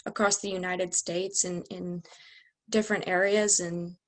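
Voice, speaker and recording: A woman's voice: lowish voice, flat delivery, a little slow. A young woman, a student athlete leader, speaking reflectively and searching for words. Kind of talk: roundtable discussion.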